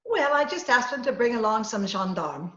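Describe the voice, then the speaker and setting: A woman's voice: fairly high pitch, expressive, moderate pace, sharp tone. A woman playing a scheming, coaxing woman who poses as a poisoner gangster, with breezy melodramatic patter in a 1940s-style radio comedy. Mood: coaxing, nervous. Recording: roomy, slightly dull video-call recording.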